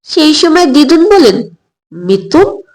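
A woman's voice: a little high, lively intonation, unhurried. Woman narrating an audio story. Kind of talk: expressive scripted first-person story narration.

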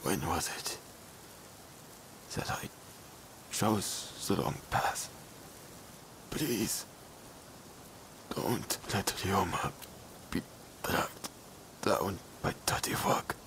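An actor playing a dying, remorseful killer swordsman in a video game, breathy and labored, pleading in broken phrases. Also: low voice, very slow, pauses often, a bit bright, a little hiss or hum, full character voice. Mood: bitter remorse. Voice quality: breathy, gravelly. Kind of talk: video game cutscene acting.

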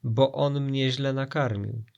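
A man's voice: mid-range voice, steady tone, takes it slowly, gravelly, a little mellow, muffled recording. A man voicing a demanding, theatrical fake genie who speaks with grand confidence and mock indignation in a storybook tale.

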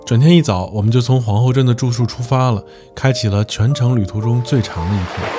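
A man narrates a travel vlog at an ordinary pitch, calm and explanatory as he walks through the route and gives practical tips. Music plays clearly beneath his voice. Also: fast talker. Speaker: travel vlogger. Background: background music.

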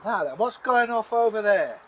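Older man, dry humour, slightly high voice, outdoor camera mic with faint hiss. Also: animated, moderate pace, fairly bright tone. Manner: chatty, dry, good-humoured. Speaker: channel host and storyteller. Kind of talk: casual storytelling and banter to camera. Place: outdoor garden by a pond. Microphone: camera mic at a few metres.

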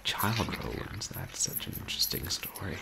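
A man in his thirties, a game streamer chatting casually at home in a wry, rambling, animated way. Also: low-pitched, moderate pace, creaky, background noise. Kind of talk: casual livestream chat. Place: small room at home, indoors.

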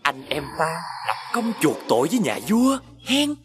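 A man playing a sly, wheedling older sworn brother in an animated fairy tale, with a southern Vietnamese accent and an ingratiating, theatrical manner. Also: on the high side, moderate pace, music in the background. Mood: pleading.

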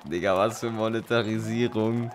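A man's voice: on the low side, steady tone, moderate pace. A young man bantering casually as he plays a co-op video game, joking and dropping in English phrases. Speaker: let's player. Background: video game music.

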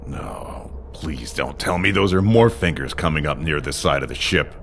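An actor playing a stern, worried commander with a gravelly voice and terse, commanding delivery. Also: male voice, very deep voice, lively intonation, moderate pace, fairly bright tone, a little hiss or hum, heavily acted. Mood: alarmed. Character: stern, worried commander in charge of security team. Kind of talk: audio drama acting.

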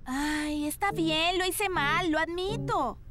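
A woman's voice: extremely high pitch, takes it slowly. A woman voicing a vain, bossy teenage girl model in a cartoon, whiny and indignant. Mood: defensive whining. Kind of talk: animated cartoon dub. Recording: music behind the voice.

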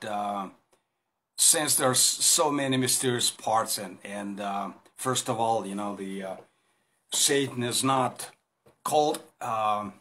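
A man's voice: mid-range voice, fairly bright tone. A man in his fifties with a Russian accent, a native Russian speaker, giving an informal Bible talk to a phone camera in a small room, hesitant with many fillers and restarts. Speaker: Bible teacher. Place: small indoor room against a plain wall. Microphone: phone camera at arm's length.

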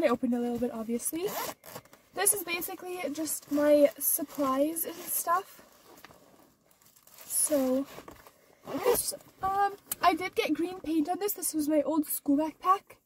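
Teen girl vlogger, very high voice, stuffed-up nose, rambling with fillers, heard from a distance. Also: noisy background. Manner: rambling, many "like" and "or whatever" fillers. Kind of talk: casual vlog chat. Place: inside her car.

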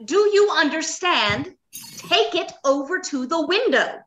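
A woman playing an earnest, uneasy doctor of robot science in a radio drama, deliberate and emphatic. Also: high voice, sing-song, a bit bright, full character voice. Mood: commanding. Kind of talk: old-time radio drama acting. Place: home, remote video call.

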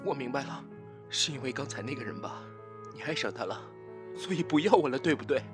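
A man's voice: medium pitch, lively intonation, brisk, loud music behind the voice, natural voice. A man playing a young rejected ex-lover in a radio drama, imploring, emotional and insistent. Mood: hurt, subdued. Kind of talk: web radio drama acting.

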